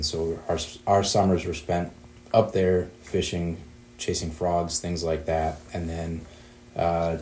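A man in his thirties from southern Wisconsin, a fish biologist answering interview questions in a relaxed way with some repeated words. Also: low voice, reverberant, a little hiss or hum.

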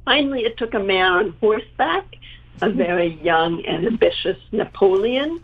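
Gravelly woman on a tinny line. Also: mid-range voice, lively intonation. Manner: measured, lecturing. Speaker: history professor, panel guest. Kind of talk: explanatory panel talk.

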